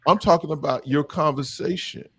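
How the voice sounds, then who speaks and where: A man's voice: a little high, normal speed, slightly rough. A middle-aged man hosting a men's discussion, talking in an emphatic, preaching style that repeats and stresses its points. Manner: emphatic, repetitive, animated. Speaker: podcast host leading a men's discussion. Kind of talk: impassioned preaching-style talk.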